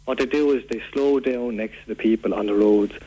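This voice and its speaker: Irish male, Waterford accent, acting as a fed-up local phoning in to radio, earnest, repetitive, mid-pitched. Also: brisk, dark tone, breathy edge, close to an everyday voice. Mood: aggrieved, earnest. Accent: Irish, County Waterford. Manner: rambling, repetitive, earnest complaining. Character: aggrieved, rambling local man fed up with food-throwing youths. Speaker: radio phone-in caller. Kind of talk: prank call to a local radio show. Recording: phone call on local radio.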